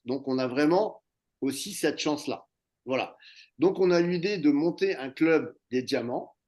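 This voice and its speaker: A man leading a network marketing video call, giving an eager pitch with many fillers and restarts. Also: darkish tone. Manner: hesitant, many fillers and repeated words. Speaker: network marketing team leader hosting a meeting. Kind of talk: enthusiastic recruiting pitch.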